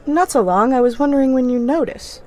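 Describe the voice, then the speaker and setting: A woman's voice: sits high, fairly quick, darkish tone, natural voice. A woman playing a coy, mysterious, alluring and unruffled lady at a bar in 1940s radio comedy style. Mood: teasing, knowing.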